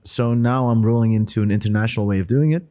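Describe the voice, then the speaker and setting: A young man from the Netherlands, Dutch by first language, runs a casual podcast in English. His voice sits low, but it lifts when he gets curious, and he laughs often while he talks. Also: quick pace, warm tone. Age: 20s. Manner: chatty, laughing, rising into higher pitch when curious. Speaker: podcast host.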